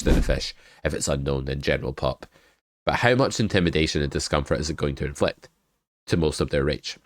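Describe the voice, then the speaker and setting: A young man coaching poker in a casual, joking hand review, congested from a cold and speaking with a very deep voice. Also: no room sound, studio quality. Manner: chatty, joking, swears casually. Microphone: webcam desk setup.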